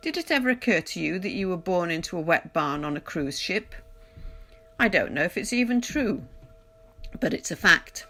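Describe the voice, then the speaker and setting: A woman reading poetry aloud in a measured, deliberate literary recitation, pausing between phrases. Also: lowish voice, expressive, sharp tone. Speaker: poetry reader. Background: music.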